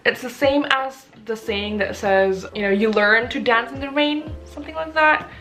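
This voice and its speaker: A woman's voice: a little high, normal speed, pushed and bright, soft background music, low-quality recording. A woman in her twenties from Indonesia with an Indonesian accent, giving advice on a casual vlog and talking with lots of fillers and restarts. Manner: chatty with frequent fillers and restarts. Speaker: vlogger answering viewer questions.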